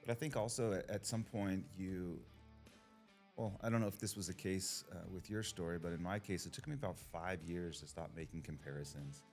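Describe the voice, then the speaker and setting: A man's voice: deep voice, a little fast, a little mellow, soft background music. An American man from the Los Angeles area, interviewing a guest and asking long, layered questions. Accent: American English. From United States, Los Angeles area. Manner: asks long, multi-part questions. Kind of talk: casual interview conversation.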